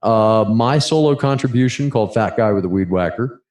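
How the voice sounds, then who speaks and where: A man's voice: fairly low pitch, normal speed, darkish tone. A man co-hosting a podcast, chatting casually about his own music. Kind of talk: casual chat.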